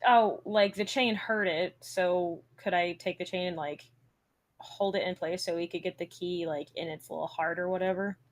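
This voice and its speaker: A woman's voice: on the low side, fairly even intonation, normal speed. A woman playing a tabletop game, talking casually with lots of "like" and casual swearing. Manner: casual, swears freely, says "like" often. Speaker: player. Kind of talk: casual tabletop play over voice chat.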